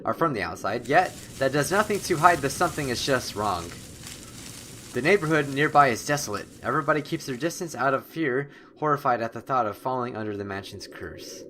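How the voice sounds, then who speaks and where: A man's voice: medium pitch, noisy background. A man hosting a gaming video, reading game text aloud theatrically with jokey asides and the odd stumble over words. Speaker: host of a gaming channel. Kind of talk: let's play, reading game text aloud with joking commentary.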